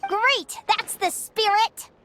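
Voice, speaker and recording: A woman voicing a tiny, excitable floating fairy companion in a video game, childlike and easily indignant, with music behind her. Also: slow pace, exaggerated voice. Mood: curious, chatty. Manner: squeaky, childlike, indignant, speaks in third person.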